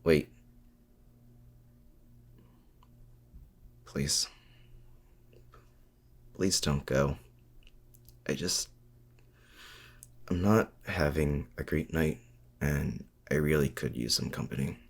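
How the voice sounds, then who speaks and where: A man's voice: rumbling low, gravelly, breathy edge, full character voice. A man acting in an intimate audio roleplay as a lonely, awkward supervillain and mad scientist, speaking in a hesitant, sincere way. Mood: vulnerable, pleading. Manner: hesitant, halting, softly sincere.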